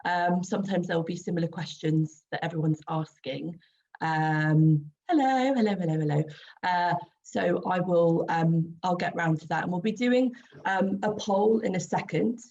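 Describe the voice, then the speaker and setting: A woman in her forties, croaky from a sinus issue, chatting casually with many ums as she hosts a webinar over a video call. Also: lowish voice, normal speed, darkish tone. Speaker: skin expert and trainer hosting a webinar. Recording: dull lo-fi video call audio with faint noise.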